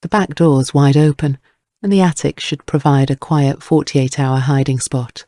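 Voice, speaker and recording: Creaky, dry-recorded dramatic narrator. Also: mid-range voice, dark tone, no room sound.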